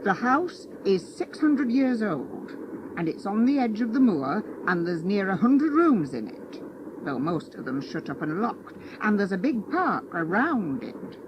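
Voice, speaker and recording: Audiobook drama casting: brisk, no-nonsense female housekeeper, swooping intonation, over rumbling travel noise. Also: big pitch swings. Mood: brisk, blunt. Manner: brisk, hard, chatty. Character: brisk, blunt, hard-voiced housekeeper woman. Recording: vehicle rumble behind the voice.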